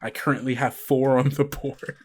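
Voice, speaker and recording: A man working as game master, explaining rules and narrating scenes in an informal, halting way. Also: medium pitch, flat delivery, darkish tone, husky. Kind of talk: casual actual-play narration.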